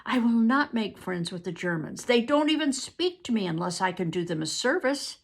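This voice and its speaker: Woman doing a desperate young soldier begging for help, husky and lively. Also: fairly high pitch, moderate pace, voice pushed up. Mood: pleading. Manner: pleading, earnest. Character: desperate young male Polish soldier captive.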